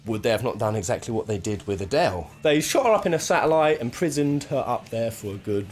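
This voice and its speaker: A man's voice: mid-range voice, expressive, fast, a little mellow. A man hosting a countdown in a casual, informal, conversational way, speculating as he comments on video game lore. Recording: music playing behind the voice. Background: game soundtrack music.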